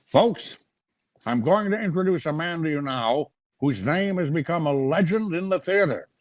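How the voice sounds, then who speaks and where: Effusive old-time radio emcee played by an actor: animated, fairly high, earnest. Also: male voice, moderate pace, dry recording, close to an everyday voice. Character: effusive male radio host, earnest and easily wounded. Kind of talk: 1930s radio comedy acting.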